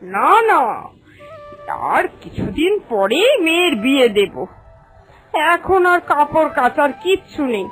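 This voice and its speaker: A woman's voice: high-pitched, very animated, slow, pauses often, fairly bright tone, soft background music, exaggerated voice, rough recording. A woman playing a proud, bossy mistress of a wealthy village household in a cartoon, haughty and authoritative. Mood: condescending, exacting. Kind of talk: Bengali cartoon voice acting.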